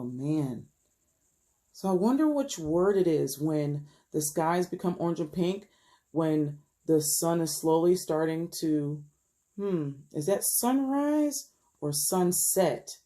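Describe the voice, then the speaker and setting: A woman teacher reads a children's picture book aloud at a slow, careful pace. Her voice is mellow and swings widely in pitch, with a gentle storytelling manner that invites young listeners in. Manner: expressive, gentle storytelling for young children, often dropping to a hush. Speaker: elementary school teacher reading aloud. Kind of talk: picture book read-aloud with questions to pupils.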